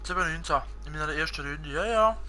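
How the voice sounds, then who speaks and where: A man's voice: a little high, fast talker, bright, forceful tone, soft background music. A young man with a Swiss German accent, chatting casually with viewers while he streams a video game, rambling with many fillers. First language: Swiss German and Italian. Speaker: gaming streamer. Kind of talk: casual live-stream chat while gaming.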